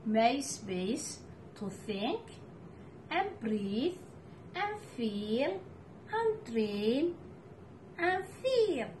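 A middle-aged woman reads a children's picture book in a fairly high voice with a very lively rise and fall. She takes her time, pausing between phrases for expression. Clear room noise sits behind her. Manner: deliberate, expressive phrasing with pauses. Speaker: storytime reader for children. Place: living room at home, indoors.

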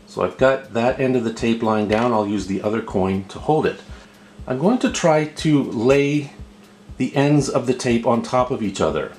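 A man's voice: mid-range voice, normal speed, a little mellow, faint music behind. A man narrating an unscripted step-by-step tutorial, explaining patiently and repeating himself as he goes. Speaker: host of a how-to video. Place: indoor room at a table. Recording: roomy, echoing indoor voice recording.

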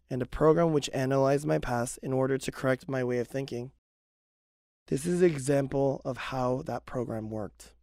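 A man narrating a scripted first-person testimony in earnest, measured short phrases. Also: mid-range voice, gravelly, warm tone, dry recording, clean studio sound.

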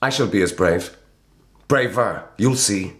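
An actor playing a proud, hot-headed young would-be knight in a historical radio drama, fervent and declamatory. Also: male voice, on the low side, measured pace, close to an everyday voice. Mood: proud, defiant. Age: young adult.

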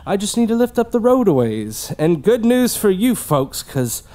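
A man's voice: high-pitched, very animated, normal speed, mellow. An actor playing an eerie, overly polite and cheerful young man hitchhiking with kidnappers. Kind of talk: audio drama acting.

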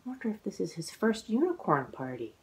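A woman in her forties reading a children's picture book aloud, expressive and exclaiming, drawing out words for young listeners. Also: mid-range voice, brisk. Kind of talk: animated children's read-aloud storytelling. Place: living room at home, indoors.

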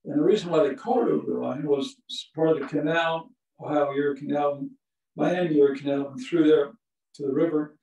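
A man's voice: fairly high pitch, steady tone, fairly quick, gravelly, dark tone, dull audio. An older man giving a chatty, anecdote-filled lecture, chuckling now and then as he tells his stories. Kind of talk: storytelling museum lecture. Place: small museum meeting room, indoors. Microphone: far camera, no visible mic. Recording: echoey room from far off.

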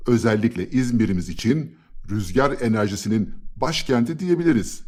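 A man's voice: lowish voice, a little mellow. A man playing a kindly grandfather in a scripted educational cartoon, explaining things patiently and with encouragement. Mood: encouraging.

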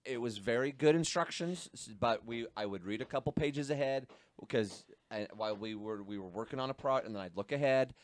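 A man in his thirties co-hosting a casual hobby podcast, easygoing and quick with agreement. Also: medium pitch. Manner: easygoing, interjects short agreements. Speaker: podcast co-host. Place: small home workshop indoors. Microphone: studio mic on boom arm, close. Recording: dry close podcast mic.

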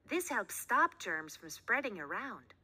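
A woman reads as a patient lady teacher in a children's book. She explains emphatically in a very bright voice at a slow pace. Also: on the high side, big pitch swings, heavily acted. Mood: instructive. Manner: clear instructing, emphatic. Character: patient, instructive female schoolteacher in a storybook.

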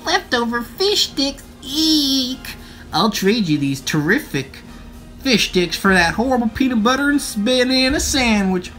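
A woman voicing a chatty, squeamish schoolchild from a storybook, exclaiming in an animated way. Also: a little high, a little slow, soft background music. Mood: disgusted, pleading.

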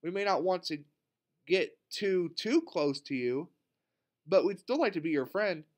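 A man's voice: high-pitched, expressive, a little slow. A man voicing a frank, friendly young bee classmate in a children's storybook, with a reassuring manner.

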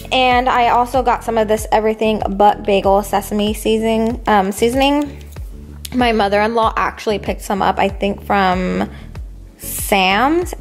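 A woman's voice: mid-range voice, creaky. A young adult woman talking casually and informally, in a slangy, conversational vlog style. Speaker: vlogger showing a grocery haul. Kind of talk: casual chatty vlog voice-over.

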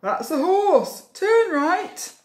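Woman playing a cunning, deliberate storybook thief. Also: extremely high pitch, very animated, pitched above the performer's own voice, full character voice. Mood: confident. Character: two cunning, bungling male thieves, Hefty Hugh and Lanky Len. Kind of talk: children's storybook character voices. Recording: echoey room.

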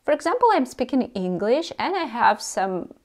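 Woman, 30s, russian accent, native russian speaker, animated tips to camera, lapel mic. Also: a little high, moderate pace. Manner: animated, gesturing with both hands. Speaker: dance teacher. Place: indoor room before a carved screen.

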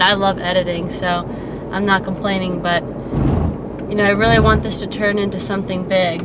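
A young woman in her twenties with a slight Baltimore accent, chatting casually and upbeat as a fitness vlogger while she drives, filmed from a dashboard camera. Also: medium pitch. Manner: rambling, upbeat, frequent "like" fillers. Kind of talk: casual vlog chat. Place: inside a car while driving. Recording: loud car road noise. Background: car engine and road noise.

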